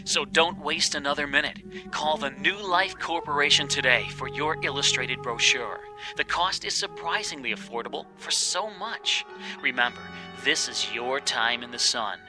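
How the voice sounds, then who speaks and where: An actor playing an enticing, polished commercial announcer within a radio drama. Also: male voice, fairly high pitch, lively intonation, moderate pace, natural voice. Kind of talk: advertising read in radio drama. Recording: music bed under the voice.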